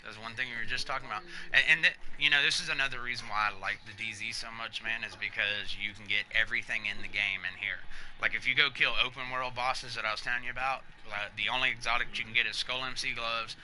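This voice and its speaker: A man's voice: mid-range voice, steady tone, fast, sharp tone, slight background noise, poor audio. A man in his thirties casually explaining a game while playing live, loose and chatty, swearing now and then. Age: 30s. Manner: loose, chatty, swears freely and laughs at himself. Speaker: game streamer. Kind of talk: casual live gameplay commentary.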